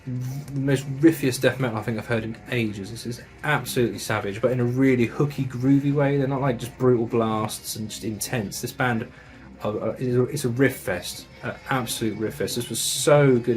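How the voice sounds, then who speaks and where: Chatty man raving about metal. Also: mid-range voice. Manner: enthusiastic, chatty, with British slang and swearing. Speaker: metal music reviewer. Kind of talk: casual review of records and tapes.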